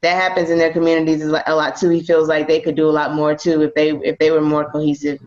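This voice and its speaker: A man's voice: fairly high pitch, monotone, fast. Reflective young man, dull lo-fi sound. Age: young adult. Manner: thoughtful, reflective storyteller. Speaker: podcast co-host. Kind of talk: casual chat.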